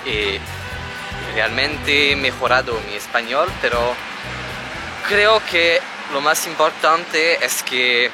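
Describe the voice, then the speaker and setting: Man, learner's Spanish, unhurried, restarts often, background music. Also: medium pitch, rough recording. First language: French. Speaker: travel vlogger. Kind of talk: casual vlog talk to camera. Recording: music under the voice.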